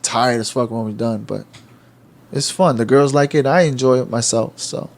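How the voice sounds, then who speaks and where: A man's voice: mid-range voice, moderate pace, vocal fry, a little mellow. A man in his thirties from New York, co-hosting a casual podcast chat, laid-back and rambling, often calling his friend "bro". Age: 30s. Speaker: podcast co-host.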